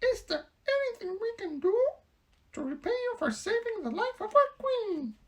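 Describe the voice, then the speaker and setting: A woman reading a storybook aloud, voicing a crowd of tiny field mice with shrill, squeaky voices. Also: sing-song, moderate pace. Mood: anxious shrill.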